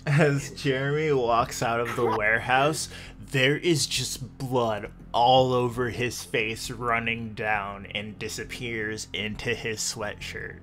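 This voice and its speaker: A man acts as game master for a tabletop roleplaying session. He narrates slowly at a middle pitch, with dramatic, deliberate pauses and a little noise behind him. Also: fairly even intonation.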